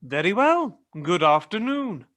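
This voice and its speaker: A bitter old miser from a radio play, acted by a man, with a forceful, sharp voice pitched fairly high. He is curmudgeonly and sneering, brushing everyone off with open scorn. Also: sing-song, measured pace, exaggerated voice. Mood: sneering, curt.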